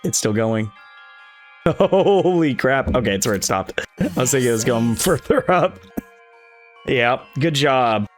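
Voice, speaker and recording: A man plays through a visual novel and comments as he goes. His middle-pitched voice is casual and easily amused, with halting asides, and game music plays behind it. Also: animated. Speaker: let's player reading a visual novel aloud.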